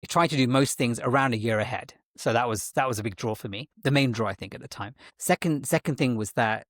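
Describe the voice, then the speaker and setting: A man's voice: medium pitch, fairly quick, no room sound, clean studio sound. A man interviewed as a guest, talking in a rambling way with frequent restarts and self-corrections. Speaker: guest, tech executive and parent. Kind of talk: conversational interview.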